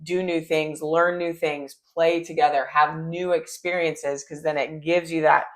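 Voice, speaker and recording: A woman with a low voice for a woman, co-hosting a casual chat and talking freely with many fillers. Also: little pitch movement, a little slow. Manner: chatty, frequent "like" and "you know". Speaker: co-host.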